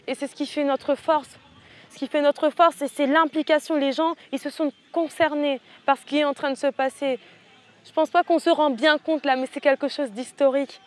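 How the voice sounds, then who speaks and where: A woman's voice: high-pitched, steady tone, moderate pace. A teenage woman from French Guiana, a student activist leader, giving impassioned, rhetorical interview answers outdoors. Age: teens. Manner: impassioned, rhetorical, repeats phrases.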